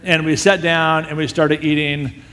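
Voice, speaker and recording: A man with a somewhat high voice tells a sermon story in a lively, self-mocking way. His voice rings out with a long reverb through a large hall. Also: unhurried. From United States. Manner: animated, self-deprecating storyteller. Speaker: missionary speaker.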